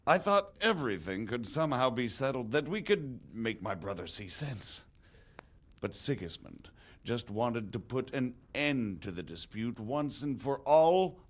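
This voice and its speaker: An actor playing a middle-aged nobleman who earnestly explains royal politics in formal game dialogue. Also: mid-range voice. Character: grave middle-aged male nobleman, measured and persuasive. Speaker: visiting margrave explaining politics. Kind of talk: video game cutscene dialogue.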